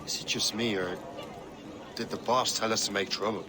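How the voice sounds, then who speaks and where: Low-voiced cocky rogue played by a man. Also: steady tone, normal speed, a crowd in the background, noisy background, close to an everyday voice. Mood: wry. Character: cocky, wry male rogue assassin hunter.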